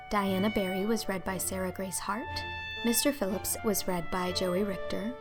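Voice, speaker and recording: A woman reading as the narrator of an audiobook, in scripted storytelling style. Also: medium pitch. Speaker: narrator and producer. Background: music.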